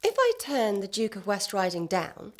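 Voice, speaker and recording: A woman's voice: medium pitch, wildly swooping pitch, moderate pace, slight background noise. A woman playing a haughty, scheming noble lady in a comic period radio play, imperious, exasperated and grandly wordy. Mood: imperious, pondering.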